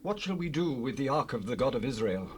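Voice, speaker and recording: An actor playing a worried Philistine leader in a dramatized Bible recording. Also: male voice, medium pitch, very animated, a little fast, natural voice. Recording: noisy raw cassette rip.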